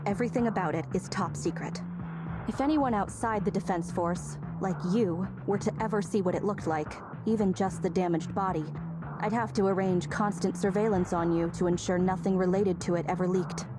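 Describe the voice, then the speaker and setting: A woman's voice: fairly low pitch, normal speed, close to an everyday voice. An actress playing a stern, guarded female soldier in a video game, speaking in a clipped, formal military manner. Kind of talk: video game story dialogue. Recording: loud game music behind voice. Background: loud music.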